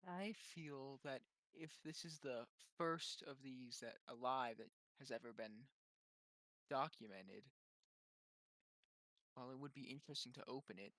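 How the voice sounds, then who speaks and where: A man's voice: mid-range voice, unhurried, bright, forceful tone, no room sound, low-quality recording. A man playing a tabletop roleplaying game, tentatively reasoning aloud in casual discussion.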